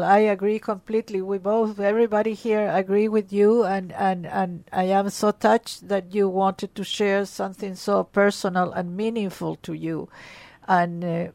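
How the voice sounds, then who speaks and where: A woman's voice: medium pitch, creaky, a little mellow, no room sound. A woman hosting a call-in radio show, speaking emphatically and often repeating words as she starts a thought. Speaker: radio host.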